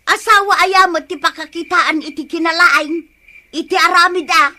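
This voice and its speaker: A woman playing a nagging, suspicious village wife with an Ilocano accent in a radio comedy drama, scolding and sharp-tongued. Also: very high voice, fairly even intonation, normal speed, exaggerated voice.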